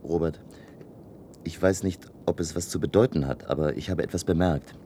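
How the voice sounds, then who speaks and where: Low-voiced, grumbling space crewman. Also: mellow, slight background noise. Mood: hesitant, secretive. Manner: halting, repetitive, emphatic outbursts. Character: male space crewman, indignant, baffled, contemptuous grumbler. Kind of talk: German radio play acting.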